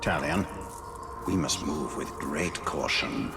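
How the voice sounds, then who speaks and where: An actor playing a grave, cautious ancient spirit counsellor in a video game, speaking in a measured, solemn way. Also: male voice, a bass voice, lively intonation, vocal fry, music in the background, exaggerated voice. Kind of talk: video game cutscene acting.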